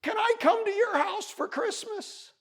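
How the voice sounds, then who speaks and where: A small, furry storybook bunny played by a man, very high and swooping in pitch. He is lonely but polite and hopeful, stretching out his words as he calls to his neighbors. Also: expressive, heavily acted. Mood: eager, hopeful. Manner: hollers in drawn-out, eager phrases.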